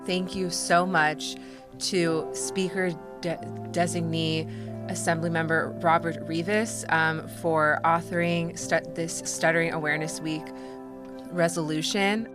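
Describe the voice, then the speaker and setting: A woman's voice: on the low side, little pitch movement, measured pace. A woman speaking at a public ceremony, giving formal thanks and restarting a word or two. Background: background music.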